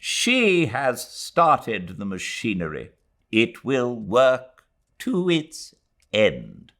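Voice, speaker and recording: A man's voice: medium pitch, wildly swooping pitch, extremely slow, a bit bright, voice pushed up, full character voice. A man voicing an irritable, weary old Englishwoman from a novel, disdainful and brooding, with a rambling, emphatic delivery. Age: older.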